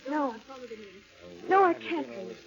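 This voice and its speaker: An actress playing a frightened wife haunted by death, speaking anxiously and emphatically in a melodramatic 1940s radio drama. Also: female voice, high voice, big pitch swings, moderate pace, vocal fry, heavily acted. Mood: fearful, insistent. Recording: dull, lo-fi old radio recording.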